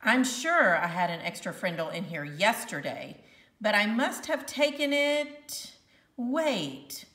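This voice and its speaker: Showy, animated schoolboy voiced by a woman. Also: mid-range voice, takes it slowly. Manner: chatty, exaggerated, theatrical. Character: showy young schoolboy making a big act. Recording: roomy, slightly distant home recording.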